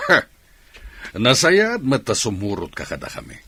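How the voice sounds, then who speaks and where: A Filipino man acting a domineering, hot-tempered middle-aged father in a radio drama, scolding forcefully. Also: medium pitch, wildly swooping pitch, normal speed, creaky, a little hiss or hum, heavily acted. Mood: stern, angry. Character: domineering, hot-tempered father forcing his daughter to marry.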